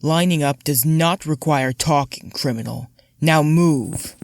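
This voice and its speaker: A man's voice: on the high side, a little slow, slight background noise. A man acting in an audio drama as a curt, contemptuous prison guard. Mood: curt, scornful.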